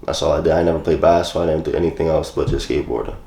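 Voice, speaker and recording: A man's voice: rumbling low, rapid-fire, roomy sound. A young man, chatting loosely about his past as an interview guest. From New York. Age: 20s. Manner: relaxed, loose phrasing with many "like" fillers. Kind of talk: casual interview.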